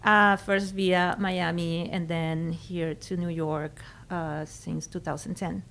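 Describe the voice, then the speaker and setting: A middle-aged woman from Venezuela with a Venezuelan Spanish accent, talking as a guest in a conversational interview and pausing to search for her words. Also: fairly low pitch, little pitch movement, a bit bright. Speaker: guest photographer.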